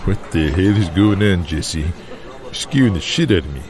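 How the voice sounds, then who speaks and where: Fairly low, excitable, chummy male wrestler played by a man, music underneath. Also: normal speed, a crowd in the background. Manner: animated, calls people brother, blurts questions.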